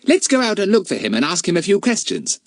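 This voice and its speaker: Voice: medium pitch, very animated, fast, dry recording, clean studio sound, pitched above the performer's own voice, close to an everyday voice. A narrator voicing a confident, breezy boy who leads his friends in a storybook. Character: cheerful, confident boy leader.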